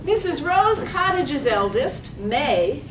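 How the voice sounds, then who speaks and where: Woman narrator, poetic scripted reading, high voice, slow measured phrases, roomy distant recording. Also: very animated. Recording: distant echoey room, faint noise.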